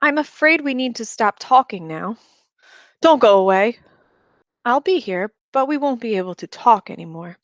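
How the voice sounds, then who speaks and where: A woman voicing a calm, reassuring female nurse who soothes a patient in a story. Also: on the high side, normal speed, no echo, muffled recording, lightly acted.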